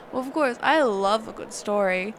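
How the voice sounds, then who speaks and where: Woman acting a high-voiced, hesitant sheltered mage. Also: expressive, slight background noise. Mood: flustered, curious. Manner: halting, hesitant, trailing off and self-correcting. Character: sheltered female mage teacher, hesitant, wistful, curious. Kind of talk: one-sided roleplay audio drama, conversational.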